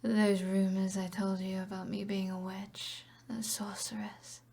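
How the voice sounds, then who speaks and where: Woman as a breathy, slow-speaking British evil sorceress. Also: medium pitch, flat delivery, a little mellow. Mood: seductive, confiding. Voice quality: breathy, whispery. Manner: slow, breathy, half-whispered, teasing and possessive. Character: alluring, commanding evil sorceress, a seductive witch. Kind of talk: ASMR roleplay.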